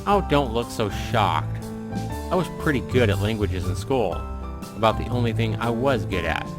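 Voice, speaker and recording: A man's voice: on the low side, expressive, normal speed, lightly acted. A man voicing a playful, boyish young Frenchman in a visual novel, dramatic, exclamatory and easily wounded. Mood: teasing. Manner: theatrical, exclamatory, stammering when upset. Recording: music playing behind the voice.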